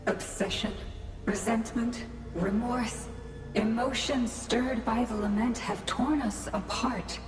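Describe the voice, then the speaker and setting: Game role: trapped, sorrowful shadow woman, earnest and solemn, echoing voice over prominent music. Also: on the high side. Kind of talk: video game voice acting. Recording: roomy echo, music behind.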